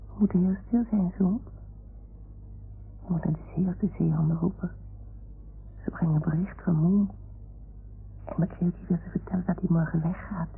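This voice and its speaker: A woman playing a small woolly toy dog in an old radio play, excitable and confiding, with childlike, theatrical earnestness. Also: fairly low pitch, natural voice. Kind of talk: 1960s-70s radio play acting. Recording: tinny, noisy old radio recording.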